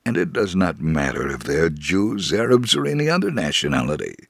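Creaky, low-voiced man narrating a story. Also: very animated, a little fast, no echo, clean studio sound. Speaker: narrator reading a first-person testimony. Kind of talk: scripted first-person story narration.

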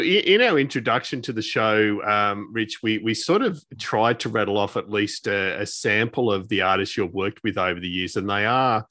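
A man's voice: on the low side, bright, forceful tone, no echo. A man co-hosting a casual interview, enthusiastic, with long rambling questions. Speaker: podcast co-host, interviewer.

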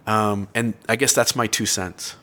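A man's voice: on the low side, fairly even intonation, unhurried, pushed and bright. A man of about forty giving opinionated, conversational commentary as a podcast co-host.

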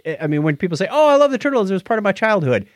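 A man's voice: high-pitched, sing-song, rapid-fire, no room sound, clean studio sound. A man around sixty co-hosting a podcast, giving casual reviews in conversation. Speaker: podcast co-host.

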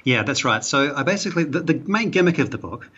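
A man's voice: on the high side, lively intonation, fast, a bit bright, breathy edge, dull audio. A man with a New Zealand accent, a guest on a podcast, explaining ideas thoughtfully with frequent fillers and restarts. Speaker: guest, author and psychology professor. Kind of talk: podcast interview.

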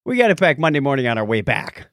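A man's voice: medium pitch, big pitch swings, fast talker, fairly bright tone, dry recording, clean studio sound. A man co-hosting a podcast, chatting casually and telling personal anecdotes. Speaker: podcast co-host.

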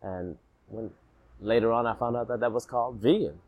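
A man from Alabama who grew up partly in Jamaica, telling his story as a podcast guest in a reflective, animated way. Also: mid-range voice, a little fast. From Alabama, raised partly in Jamaica. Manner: reflective, animated, rhythmic repetition. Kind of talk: casual storytelling in a podcast interview.

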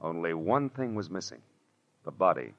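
World-weary 1940s radio gumshoe, American, low-pitched, wry and emphatic, old muffled broadcast sound. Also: male voice, big pitch swings, normal speed. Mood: sardonic narration. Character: hard-boiled male private detective, shrewd, world-weary, sardonic. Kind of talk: 1940s radio detective drama acting and narration.

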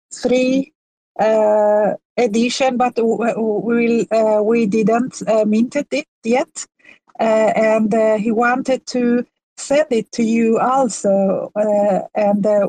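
A woman with a Persian accent, Persian her first language, speaking as a guest artist in an online chat. She talks haltingly, with constant "uh" fillers and restarts. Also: medium pitch, dark tone, dry recording, low-quality recording. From Iran. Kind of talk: casual chat in an online audio room.

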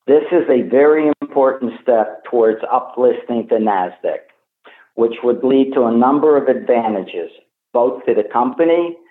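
A man formally reading prepared remarks as a company executive on an earnings call over the phone. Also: mid-range voice. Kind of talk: scripted earnings call presentation. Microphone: telephone conference call. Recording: tinny phone line with echo.

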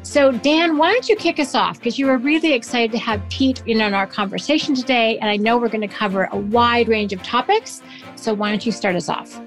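A woman's voice: on the high side, brisk. A woman co-host opening a conversational interview and handing the floor to her co-host in an upbeat way. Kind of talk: show introduction. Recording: faint music behind.

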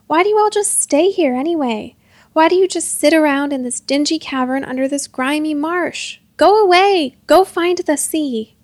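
High-pitched girl, defiant, impassioned, pleading, storybook character, acted by a woman. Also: animated, moderate pace, dry recording. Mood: indignant, furious.

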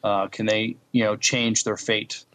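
A man co-hosting a podcast in casual chat, speaking haltingly and often repeating words as he thinks. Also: on the low side, little pitch movement, a bit bright, poor audio. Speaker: podcast co-host. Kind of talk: casual review chat about TV shows.

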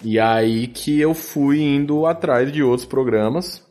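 A man's voice: mid-range voice, unhurried, a little mellow. A man with a Brazilian accent talking casually as a podcast guest, informal and slangy, with a joking touch. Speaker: podcast guest, audio drama producer. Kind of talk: casual conversational interview.

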